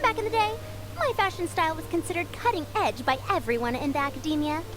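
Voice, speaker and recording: A woman's voice: very high voice, quick pace. A woman playing a small, proud, old-fashioned female scholar with a self-assured, matronly way of talking in an anime game.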